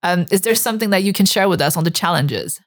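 A woman's voice: on the low side, lively intonation, fast talker. A woman hosting a podcast, speaking conversationally as she introduces and questions guests. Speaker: podcast host.

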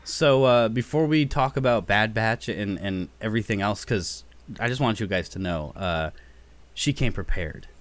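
A man hosting a casual podcast, upbeat and easygoing, with frequent ums. His voice sits a little low. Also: lively intonation, moderate pace. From United States. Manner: laid-back, upbeat, frequent fillers and laughs. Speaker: podcast host. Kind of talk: casual chat.

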